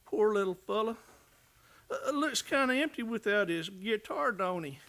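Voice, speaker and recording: Casting: marshal's kindly helper played by a woman, sharp bright tone, homespun western talk. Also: mid-range voice, expressive, normal speed. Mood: curious, sympathetic. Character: folksy, sympathetic male sidekick of a frontier marshal. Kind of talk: western radio play acting.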